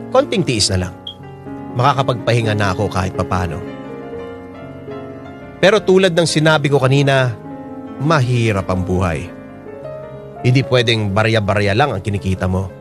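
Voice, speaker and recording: A man's voice: medium pitch, natural voice. A man playing a weary, bitter working father. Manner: earnest, frustrated, pleading. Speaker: narrator and lead in a radio drama. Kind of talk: radio drama acting.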